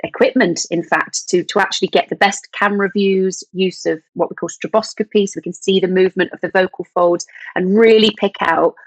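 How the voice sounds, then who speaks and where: A woman's voice: on the low side, steady tone, brisk, no room sound, rough recording. A middle-aged woman from England, a voice specialist, explaining things thoughtfully in a conversational interview with many fillers. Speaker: voice specialist guest.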